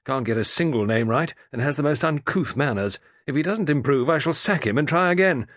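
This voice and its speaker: A man's voice: on the high side, animated, fairly quick, a bit bright, dry recording, clean studio sound, pitched above the performer's own voice. A man voicing a small, pugnacious, bad-tempered middle-aged dentist who grumbles and complains. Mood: irritable. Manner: peevish, complaining.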